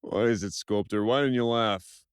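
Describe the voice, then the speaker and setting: A man's voice: fairly low pitch, normal speed, clear, resonant tone, no echo, studio quality, close to an everyday voice. A man playing a crude, mocking prisoner in an audio play, confrontational and swaggering. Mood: mocking, hostile. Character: crude, bullying male prisoner, loud joke-teller. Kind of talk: audio play character acting.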